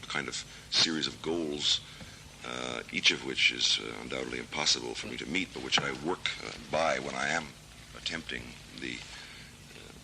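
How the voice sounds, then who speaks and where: An American man in his thirties, a literary translator, answering interview questions in a thoughtful, measured way with many hesitations. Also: very deep voice, creaky, sharp tone, a little hiss or hum. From United States. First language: English. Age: 30s.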